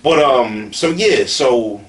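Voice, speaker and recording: A man's voice: medium pitch, animated, takes it slowly, fairly bright tone. A man in his late twenties with a Philadelphia accent, talking candidly in an interview in an emphatic, repetitive way full of slang and swearing. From Southeastern Pennsylvania, USA. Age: late 20s. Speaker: battle rapper being interviewed. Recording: distant mic with faint background noise.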